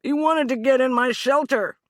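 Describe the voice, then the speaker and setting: A woman's voice: high voice, animated, unhurried, fairly bright tone, dry recording, pitched above the performer's own voice, exaggerated voice. Woman voicing a whining cowardly man. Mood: yelping. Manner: whining, pleading, yelping.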